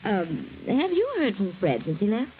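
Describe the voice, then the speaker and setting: An actress playing a poised, falsely polite, scheming American wife in a 1940s radio drama, with a genteel, pointed way of talking. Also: female voice, medium pitch, huge pitch swings, normal speed. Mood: calculating, polite. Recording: muffled, noisy old radio recording.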